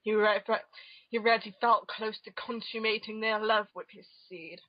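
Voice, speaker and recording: A woman's voice: mid-range voice, fairly even intonation, normal speed, no echo. A woman narrating an amateur dramatic reading of comic fan fiction, on a muffled home recording.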